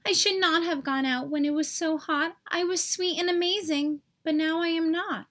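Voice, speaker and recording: A high-pitched, sad little stick from a children's storybook, acted out by a woman. He sounds plaintive and self-pitying, full of doubt about himself. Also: fairly even intonation, normal speed, a bit bright. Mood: forlorn. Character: sad, self-doubting male popsicle stick, small and whiny.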